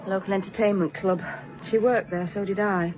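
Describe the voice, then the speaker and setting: An actress playing a sharp-tongued, sardonic British working woman questioned as a witness in a radio drama, dry and defiant. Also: medium pitch, fairly even intonation, moderate pace, highs cut off, soft background music, natural voice. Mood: bitter, defiant.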